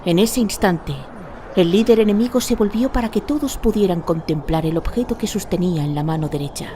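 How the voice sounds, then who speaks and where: A woman's voice: medium pitch, a little fast, warm tone, a little hiss or hum. A woman narrating a fantasy radio drama in a dramatic, scripted storytelling style. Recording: music behind the narration.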